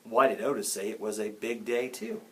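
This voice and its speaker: A man voicing an earnest, puzzled young boy musing quietly to himself in a children's storybook. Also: on the low side, fairly even intonation, moderate pace. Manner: hushed, musing asides. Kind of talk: children's storybook read-aloud.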